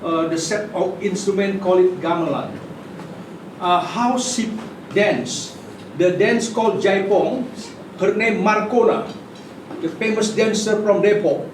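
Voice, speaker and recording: A high-pitched man with an Indonesian accent gives an informal craft demonstration. He talks in short, halting, simple phrases. The voice is picked up from a distance in an echoey space with clear background noise. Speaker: puppet workshop guide and demonstrator.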